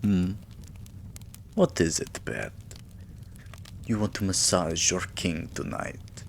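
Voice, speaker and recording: A man playing a regal, possessive, doting vampire king in an intimate ASMR roleplay, with lordly, drawn-out phrasing and murmurs. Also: low voice, big pitch swings, vocal fry, a little hiss or hum, exaggerated voice. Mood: fond, commanding.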